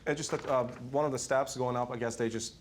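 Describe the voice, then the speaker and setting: A man's voice: mid-range voice, steady tone, fast. Guy, landlord answering in tv court, slight ambient noise behind him. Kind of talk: courtroom testimony. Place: TV courtroom studio.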